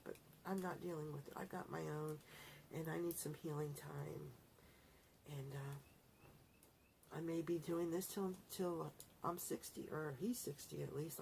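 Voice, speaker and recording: A woman's voice: fairly low pitch, creaky, a little mellow, slight background noise, poor audio. A middle-aged woman vlogger chatting casually to her viewers in a rambling, self-correcting way. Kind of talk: casual talk to camera.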